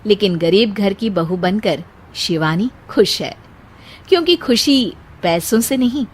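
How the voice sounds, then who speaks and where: A woman's voice: mid-range voice, expressive, a little slow, breathy edge. A woman narrating a scripted story as its storyteller.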